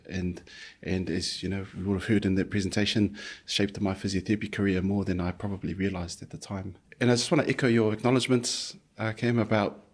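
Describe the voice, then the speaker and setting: A middle-aged man from New Zealand, a physiotherapist guest speaking thoughtfully in an interview, with many pauses and restarts. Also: low-pitched, breathy edge. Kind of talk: reflective conversational interview.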